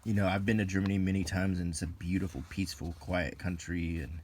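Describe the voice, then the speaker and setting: A man with a very low-pitched voice talks in an unscripted, musing way, as in an ASMR video. He pauses often and picks his words haltingly. There is some background noise in the recording. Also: fairly even intonation. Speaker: video host reviewing an old magazine. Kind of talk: unscripted ASMR-style commentary while browsing a magazine.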